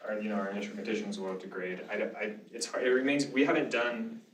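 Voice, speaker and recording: A low-pitched man gives informal lecture answers in a casual, conversational manner. He is picked up from a distance in an echoey room, with faint noise underneath. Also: quick pace. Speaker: lecturer, speaker answering questions. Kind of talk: informal question-and-answer after a talk.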